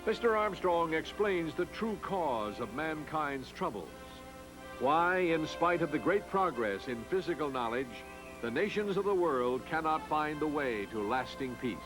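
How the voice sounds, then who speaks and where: A man's voice: on the high side, very animated, rough recording. Formal male narrator over music. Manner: measured, formal announcer delivery. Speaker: documentary narrator. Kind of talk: scripted narration.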